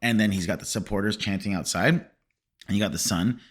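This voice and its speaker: A man whose first language is Farsi, hosting a casual film discussion podcast in an animated way and often correcting himself mid-sentence. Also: fairly low pitch, fairly quick, husky. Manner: animated, laughing, self-correcting.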